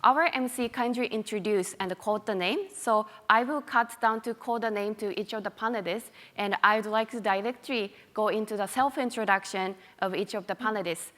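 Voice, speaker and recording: A woman's voice: medium pitch, moderate pace, few pauses, a bit bright. A woman moderating a panel in a conference hall, polite and hesitant with many fillers. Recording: long hall reverb.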